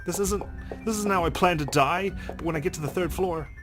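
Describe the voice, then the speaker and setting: A man's voice: high-pitched, brisk, music in the background. A man hosting a let's play, reading game text aloud dramatically and swearing as he reacts.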